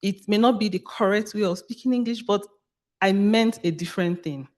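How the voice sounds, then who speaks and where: A panelist with a Nigerian accent speaks at a medium pitch. The delivery is explanatory and emphatic, with key phrases repeated for stress. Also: a little fast, a little mellow. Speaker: panelist on AI language models.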